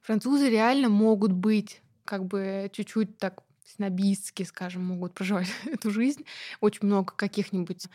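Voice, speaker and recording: A woman guest talking in a relaxed interview, chatty and lively, with many fillers and light laughs. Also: mid-range voice. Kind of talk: casual conversational interview.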